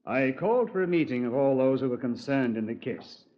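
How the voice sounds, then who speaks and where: An actor playing a Scotland Yard commander who narrates the case in a 1950s radio drama, clipped and questioning with hesitant pauses. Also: male voice, mid-range voice, expressive, lightly acted. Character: measured male police commander, brisk and questioning. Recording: lo-fi vintage radio transcription.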